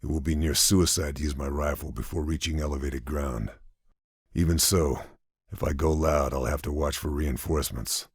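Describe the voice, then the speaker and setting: A man voicing a calm, methodical wartime sniper in a video game cutscene, speaking in low, clipped, measured tones. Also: husky, dry recording, studio quality, heavily acted. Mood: calm, planning. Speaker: sniper protagonist.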